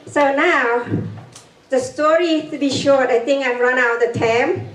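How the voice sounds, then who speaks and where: An older woman with a Cambodian accent speaks in halting, broken English, breaking into nervous laughs. Her voice sits a little high. The recording is distant and echoey, as if picked up from across a hall. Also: a bit bright, slight background noise. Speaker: guest speaker. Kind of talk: personal testimony talk. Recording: echoey room, far from mic.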